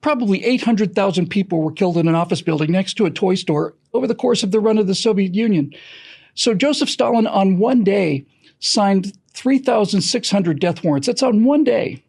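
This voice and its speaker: A man telling history with strong opinions in an interview, explaining emphatically and repeating key phrases deliberately. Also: high-pitched, expressive, vocal fry, darkish tone. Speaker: podcast creator being interviewed.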